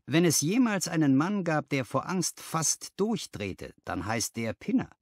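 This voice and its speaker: A man voicing a puzzled, excitable Victorian clerk in an audiobook, speaking in blunt exclamations. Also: fairly high pitch, unhurried, no echo, pitched above the performer's own voice. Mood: puzzled, agitated.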